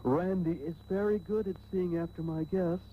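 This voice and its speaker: Man as an oily high-voiced villainous sea captain. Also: fairly even intonation, moderate pace, natural voice. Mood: smooth, flattering. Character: smarmy, genteel male sea captain, slyly villainous. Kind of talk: comic radio serial acting. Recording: thin, dull old radio tape, faint hiss.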